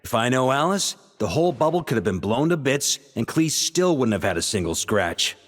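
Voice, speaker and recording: A man's voice: medium pitch, sing-song, normal speed, big-room sound, faint music behind. A man voicing a jovial, fun-loving adventurer in an anime game, breezy and persuasive.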